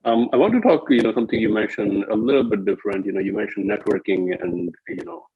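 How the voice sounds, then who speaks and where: A man's voice: fairly low pitch, quick pace. A man hosting a conversational interview, asking hesitant, roundabout questions over a muffled, narrow-band line. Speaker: interview host.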